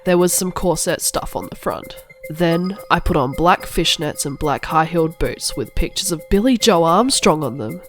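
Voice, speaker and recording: A woman's voice: sits low, lively intonation, normal speed, hardly any pauses, soft background music. A woman playing a moody, melodramatic teenage goth girl narrating a parody cartoon. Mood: gloomy. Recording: clearly audible background noise.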